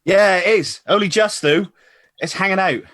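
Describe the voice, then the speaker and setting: A man's voice: sits high, normal speed, fairly bright tone. A man playing a good-natured, bantering pub regular in a radio comedy. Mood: easygoing. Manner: bantering, chuckly. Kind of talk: radio comedy play reading.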